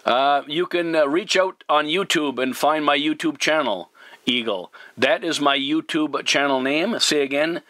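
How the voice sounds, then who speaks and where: A man talking as a CB radio operator, courteous and formal, deliberately repeating himself in radio procedure style. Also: on the high side, bright, forceful tone, no echo. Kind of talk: radio contact talk, spelling in phonetic alphabet.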